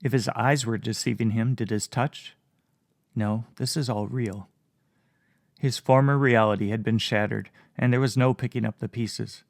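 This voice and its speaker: A man narrating a fantasy story in a calm, measured way. His mid-pitched voice has a gravelly texture, and the recording sounds dry and close. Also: no room sound. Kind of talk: audiobook narration.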